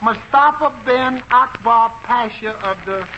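A man's voice: very high voice, unhurried, dull audio, a little hiss or hum. A man playing a bumbling, boyish husband who stammers eagerly in a 1950s radio comedy, on an old recording. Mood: muddled, cheerful. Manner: stammering, dithery, full of uhs.

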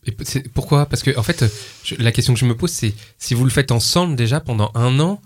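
A man interviewing a guest, asking probing questions in a halting, apologetic way. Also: medium pitch. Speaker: interviewer host. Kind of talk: conversational interviewing.

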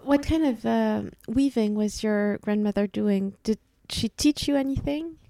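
A woman hosts the interview and asks calm, direct questions. Her voice is a little high, with a mellow tone. The sound is dry and close. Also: a little slow, vocal fry, no echo.

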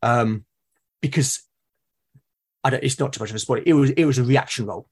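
A man's voice: medium pitch, brisk, darkish tone, slightly rough. A man in a conversational interview as a podcast guest, explaining his creative process and often repeating words as he thinks aloud. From Britain.

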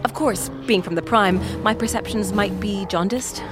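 A woman's voice: medium pitch, moderate pace, lightly acted. A woman playing an inquisitive, articulate young visitor with a wry, emphatic manner in a fantasy audio drama, with music behind.

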